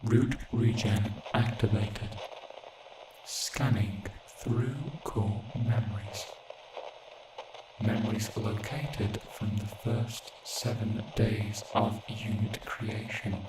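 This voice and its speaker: A man voicing an emotionless robot system that announces scan status in clipped, mechanical phrases for a sci-fi roleplay. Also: fairly low pitch. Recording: distant, echoey, very noisy backdrop.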